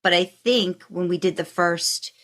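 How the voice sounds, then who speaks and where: Woman, slightly low voice, podcast host, casual conversational style, rambling, thinking aloud. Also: measured pace, a bit bright.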